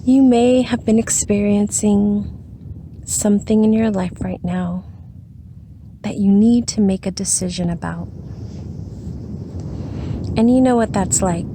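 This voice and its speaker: Very slow woman guiding a meditation. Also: medium pitch, mellow, a little hiss or hum. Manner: soothing, unhurried, with long pauses. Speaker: meditation guide. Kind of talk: guided meditation.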